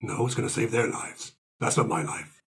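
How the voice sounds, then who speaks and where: A man's voice: lowish voice, moderate pace, creaky. A man playing a proud, stubborn adventurer with a curt, haughty, pedantic manner in a tabletop roleplay.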